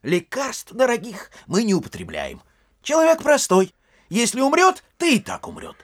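An actor playing a glib, callous charity hospital overseer with a breezy theatrical delivery in an audio comedy. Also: male voice, extremely high pitch, huge pitch swings, moderate pace, exaggerated voice. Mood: breezy, smug.

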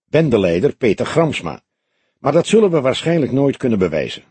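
Man voicing a husky, wry old detective. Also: medium pitch, sing-song, a little fast, a little mellow, no room sound. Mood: matter-of-fact. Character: grey-haired old police detective, wry, calm, deliberate. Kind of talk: audiobook character dialogue.

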